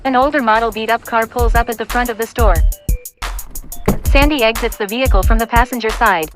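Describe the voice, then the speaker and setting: A woman narrates scene directions for an audio play in a fairly high voice. She reads as a scripted narrator, with prominent music behind her. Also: brisk. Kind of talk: scripted screenplay narration, reading stage directions.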